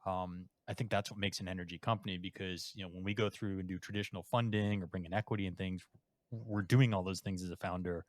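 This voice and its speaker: A man's voice: deep voice, steady tone, fast, no echo. A man hosting a podcast, talking in a conversational way and thinking aloud with "um" before asking a question. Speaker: podcast host. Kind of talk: podcast interview.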